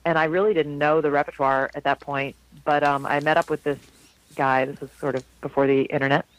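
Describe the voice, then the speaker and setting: A man in his forties from South Florida, a jazz singer with a low, slightly husky voice, telling rambling stories in a casual interview with frequent restarts. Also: level tone, brisk, rough recording. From South Florida, USA. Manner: rambling, warm anecdotes with frequent restarts and laughs.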